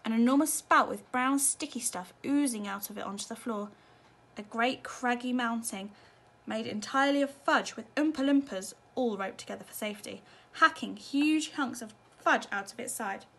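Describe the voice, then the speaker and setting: Woman, children's story narration, expressive, fairly high pitch, bright tone. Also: moderate pace. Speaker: teacher reading a bedtime story.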